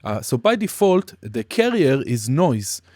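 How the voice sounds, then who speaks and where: A man teaching music production in a casual software demonstration, explaining informally and somewhat hesitantly step by step. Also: mid-range voice, very animated, unhurried, no room sound, clean studio sound. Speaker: music production tutorial instructor.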